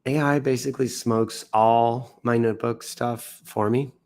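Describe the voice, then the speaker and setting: A man's voice: mid-range voice, steady tone, unhurried, a little mellow. Theatrical American man riffing through the news. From United States. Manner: theatrical, swoops into exaggerated emphasis and self-mocking asides. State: sick and tiring, coughing now and then. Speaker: livestream host reading news posts. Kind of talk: off-the-cuff livestream reading with jokey asides. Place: indoors.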